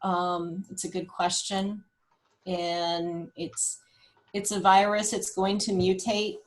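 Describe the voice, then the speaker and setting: Woman, lowish and unhurried, informal explainer on a group call. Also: flat delivery, low-quality recording. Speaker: nurse presenting health data.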